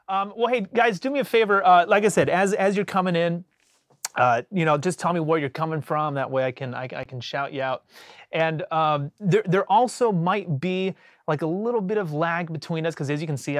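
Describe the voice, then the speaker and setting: A man hosting a casual livestream as a bassist, rambling with lots of fillers and restarts, his voice a little off after a cold. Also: no room sound, studio quality. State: recovering from a cold.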